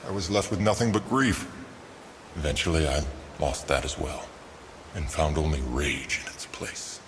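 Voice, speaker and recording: A wrathful, grieving spirit from a video game. The voice is deep and solemn, delivered with theatrical weight, and trails off in long reverb over faint noise. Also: male voice, lively intonation, moderate pace. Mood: bitter grief. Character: vengeful, grieving male ghost, solemn and wrathful. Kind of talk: video game cutscene acting.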